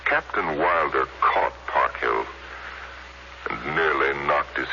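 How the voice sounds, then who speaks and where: A man giving measured, literary dramatic narration in the style of 1950s radio. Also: rumbling low, vocal fry, bright, forceful tone, slight background noise. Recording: dull vintage radio recording. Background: dramatic music.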